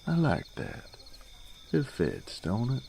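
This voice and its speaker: A man playing a plain-spoken farm boy turned knight in a fantasy audio drama, gruff but tender, with a folksy rustic drawl. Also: wildly swooping pitch, slow pace, warm tone, slight background noise, exaggerated voice. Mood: fond, thoughtful. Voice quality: very deep, gravelly.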